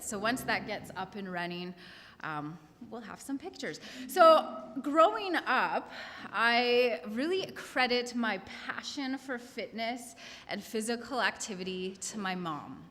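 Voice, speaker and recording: A woman from Saskatchewan giving an earnest, measured conference presentation, with some hesitations. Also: mid-range voice, a bit bright, a little hiss or hum. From Saskatchewan, Canada. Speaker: conference panelist. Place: conference hall. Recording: long hall reverb.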